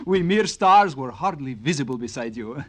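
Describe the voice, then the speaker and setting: A man's voice: medium pitch, animated, normal speed, background noise, natural voice. An actor playing a gallant, flowery male ballet admirer in an old-time radio drama. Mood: gallant, flattering.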